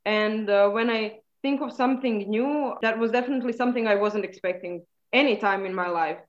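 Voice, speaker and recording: A woman's voice: medium pitch, fairly quick, dull audio. A young woman from Croatia, an undergraduate speaking earnestly in an interview. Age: young adult. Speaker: undergraduate student guest. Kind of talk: casual interview answers.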